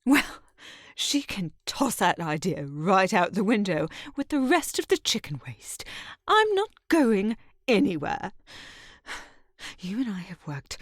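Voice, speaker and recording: A woman's voice: medium pitch, big pitch swings, slow, dry recording, clean studio sound. A woman playing a feisty, scheming servant in an audio drama, with a sassy, indignant, theatrical delivery. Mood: defiant. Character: feisty working-class servant woman, scheming, possessive lover. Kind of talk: dramatic audio roleplay acting.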